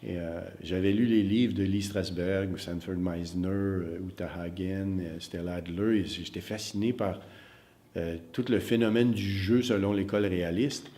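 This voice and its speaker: Low-voiced man, hesitant. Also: steady tone, moderate pace, mellow. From Northern Ontario. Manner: hesitant, many fillers and repeated words. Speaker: acting teacher. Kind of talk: reflective interview answers.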